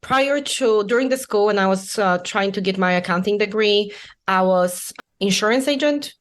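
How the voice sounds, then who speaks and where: Woman, Polish accent, forties, podcast guest, measured, pausing. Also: medium pitch. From Poland. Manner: measured, explanatory, many "uh" pauses. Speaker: guest accountant. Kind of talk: podcast interview.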